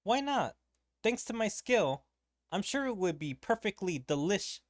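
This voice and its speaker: A man's voice: high voice, very animated, a little slow, slight background noise, pitched above the performer's own voice. A man reading the lines of an overconfident young woman secretary, eager and boastful.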